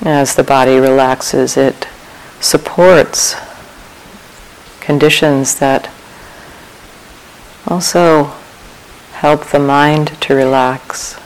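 A woman meditation teacher leading a guided meditation in a calm, measured way, with long pauses between short phrases. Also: rumbling low, a little hiss or hum, low-quality recording.

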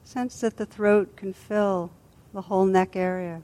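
Woman softly guiding a meditation. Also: mid-range voice, steady tone. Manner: gentle, soothing, unhurried with long pauses. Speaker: meditation teacher. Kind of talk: guided body scan meditation.

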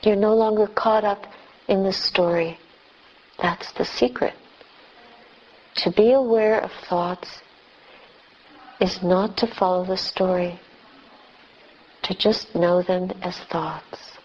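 Female meditation guide, unhurried pace, mid-range pitch, gentle measured delivery with pauses. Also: fairly even intonation, a little hiss or hum, poor audio. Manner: gentle, measured, with long pauses between short phrases. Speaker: meditation teacher, Buddhist nun.

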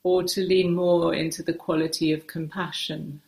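A woman meditation teacher giving gentle guided instruction in short, unhurried phrases with hesitant pauses, recorded in a roomy, echoing space. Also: low voice, little pitch movement, vocal fry.

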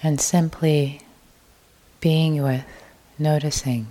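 Female meditation teacher: gentle and soft, drawn-out lines, a very low voice. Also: fairly even intonation. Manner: slow, soft, gentle guidance with long pauses; drifts into an unvoiced whisper at times. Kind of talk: guided meditation.

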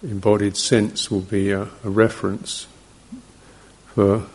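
A man teaching guided meditation, speaking in short, gentle fragments with long pauses between them. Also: on the low side, little pitch movement, breathy edge, low-quality recording.

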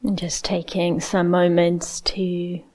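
A woman leading a guided meditation as a teacher, speaking in a gentle, unhurried way with long pauses. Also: on the low side, little pitch movement.

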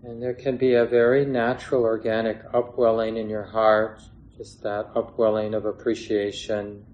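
A man leading a guided meditation as a teacher, speaking gently in short phrases with long pauses between them. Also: fairly low pitch, monotone, lo-fi sound. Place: meditation hall with a gathered group. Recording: clear background noise, room ambience.

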